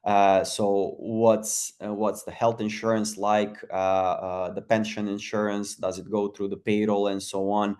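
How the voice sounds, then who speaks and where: A man from Croatia gives a casual webinar talk, pausing often with fillers and restarts. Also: on the low side, little pitch movement, darkish tone. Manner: halting, many fillers and restarts. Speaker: webinar speaker.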